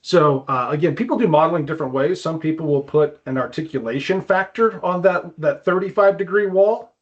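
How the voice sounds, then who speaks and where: A man speaks as an expert guest in an informal interview. He explains things conversationally, haltingly, circling back over his words. The audio is somewhat dull and lo-fi. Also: on the high side. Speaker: guest climbing wall consultant.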